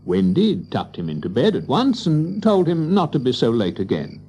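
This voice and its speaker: A man narrating a scripted children's story in an expressive storytelling manner. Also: mid-range voice, moderate pace, a little hiss or hum.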